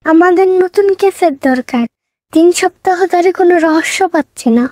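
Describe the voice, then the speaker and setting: A woman's voice: extremely high pitch, moderate pace, a little mellow, no room sound, clean studio sound. A woman playing a confident young girl detective who leads her team in a cartoon drama.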